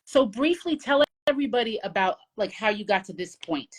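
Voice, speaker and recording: A woman's voice: fairly high pitch, lively intonation, a little fast, breathy edge. A woman hosting a live talk show in an upbeat, chatty way and prompting her guest. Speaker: host.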